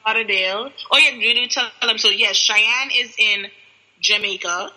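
Excitable bright-voiced woman co-host. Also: on the high side, normal speed, rough recording. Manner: excitable, giggly, rambling. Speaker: podcast co-host. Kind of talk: casual chat. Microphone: video call.

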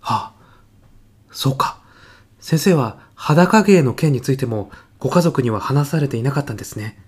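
A man playing an earnest physicist in an audio comedy sketch, speaking formally and reverently of his mentor with deadpan, grandiose solemnity. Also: medium pitch, moderate pace, husky. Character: earnest, reverent male physicist, devoted protégé of late professor.

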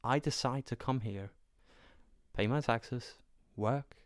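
A man's voice: medium pitch, takes it slowly, dry recording, clean studio sound. A man from Denmark with a Danish accent speaks in an emphatic, argumentative way, driving points home with repeated phrases in an opinionated conversation.

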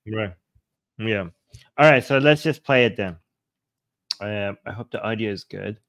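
A man's voice: on the low side, a little slow, a bit bright, no echo. A man hosting a livestream reaction show, thinking aloud and reading subtitles out to viewers. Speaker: show host.

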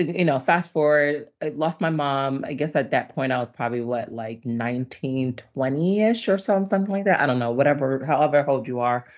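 A middle-aged woman born in Nigeria and raised in the US, telling her life story as a podcast guest in a chatty, animated way with frequent ums. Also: deep voice. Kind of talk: casual conversational storytelling. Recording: dull lo-fi audio.

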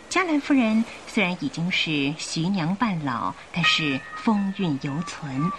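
A woman's voice: fairly low pitch, low-quality recording. A woman narrating a scripted radio drama in a theatrical storytelling manner.

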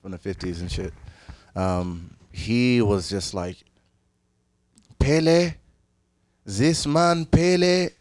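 A man's voice: lowish voice, animated, takes it slowly, pauses often, a little mellow. A young man from Ethiopia chatting casually, playful and joking, laughing often. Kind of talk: casual chat.

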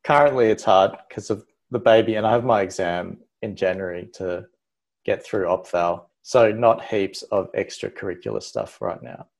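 A man in his thirties with an Australian accent, a guest talking in a relaxed, rambling way with many "like" fillers. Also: fairly low pitch, moderate pace, vocal fry. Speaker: guest, ophthalmology registrar. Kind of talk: casual interview chat.

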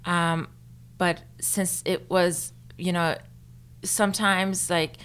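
Young woman from Turkey, Turkish first language, lowish voice, thoughtful and chatty interviewee. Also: fairly even intonation, slow, a bit bright, clean tone. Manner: thoughtful, with frequent fillers and light laughs. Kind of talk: casual interview.

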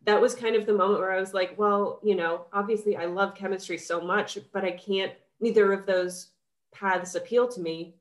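A woman's voice: mid-range voice, fairly even intonation, moderate pace, echoey room. A young woman with a cold and a slightly nasal voice, chatting enthusiastically as a guest on a podcast, with lots of fillers. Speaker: skincare founder, guest. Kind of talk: casual podcast interview.

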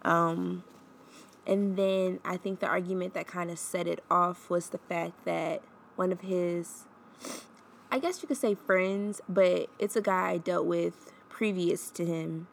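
A woman's voice: on the low side, steady tone, measured pace, gravelly, slight background noise. A woman in her twenties hosting her own podcast, chatting candidly with lots of ums and sounding stuffed up from a cold. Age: 20s. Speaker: podcast host. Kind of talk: casual solo podcast chat.

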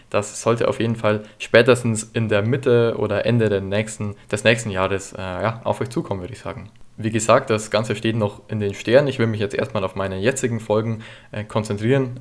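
Young man, history podcast host, chatty, relaxed, lowish voice, lots of ums. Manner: relaxed and chatty, many fillers. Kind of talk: casual solo podcast answering listener questions.

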